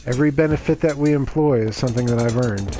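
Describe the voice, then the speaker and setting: A man streaming a game, voice a little raspy from reading aloud the day before, chatting casually with lots of "you know"s and "uh"s. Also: mid-range voice, fast talker, warm tone, faint music behind. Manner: chatty and self-justifying, lots of fillers. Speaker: gaming streamer. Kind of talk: casual gameplay commentary.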